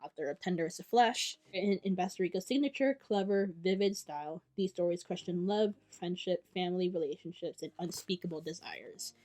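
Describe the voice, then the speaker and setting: A young woman talking to camera about her summer reading list in a chatty, enthusiastic way, with quick asides and self-corrections. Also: lowish voice, warm tone. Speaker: book vlogger. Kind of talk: casual chat to camera.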